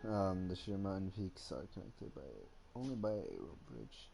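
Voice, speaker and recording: A man casually streaming a game, reading on-screen text aloud in a halting, stumbling, muttering way. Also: low voice, big pitch swings, gravelly, warm tone, a little hiss or hum.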